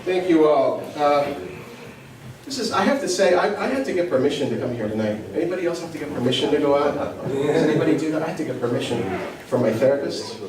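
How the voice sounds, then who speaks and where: Egyptian-born guy doing stand-up, slightly high voice, chatty and poking fun at himself, heard far off in a really echoey club. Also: big pitch swings, fast. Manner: self-deprecating, conversational, asks the crowd questions. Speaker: stand-up comedian. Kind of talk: stand-up comedy set. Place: comedy club stage.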